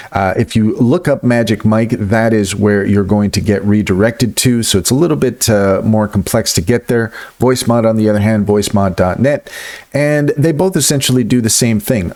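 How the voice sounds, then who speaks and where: A man with a fairly low voice narrates a casual review video as its host. He moves between explaining things in his own words and reading comparison notes aloud, in a tutorial style. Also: darkish tone.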